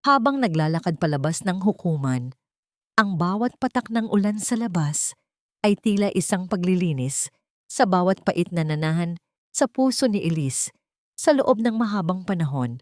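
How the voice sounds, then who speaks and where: A woman reading a scripted dramatic story as narrator, in a measured, solemn storytelling manner. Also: fairly low pitch, animated, a little mellow, no room sound, clean studio sound. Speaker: narrator of love stories.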